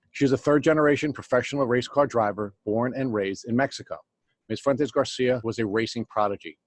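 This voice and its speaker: A man hosting a podcast, reading a formal introduction and then asking interview questions in a measured way. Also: medium pitch, no room sound. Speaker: podcast host.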